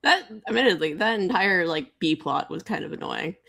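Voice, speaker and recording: A woman's voice: fairly low pitch, expressive, moderate pace, vocal fry, pushed and bright. A woman co-hosting a book discussion, chatting casually and informally. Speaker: book readalong co-host. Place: online livestream.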